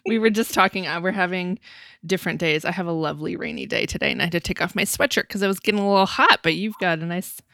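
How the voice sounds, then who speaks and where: Low-voiced rambling podcast host woman. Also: fast talker, vocal fry, a bit bright, no room sound, studio quality. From Massachusetts, USA. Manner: rambling, laughs often, swears casually. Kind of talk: casual podcast interview.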